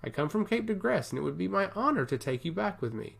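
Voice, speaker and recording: A man's voice: high voice, big pitch swings, quick pace, vocal fry, a bit bright, voice pushed up, full character voice. A man playing a forward, self-assured young nobleman in a fantasy story, with courtly, florid phrasing. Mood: flattering, smitten.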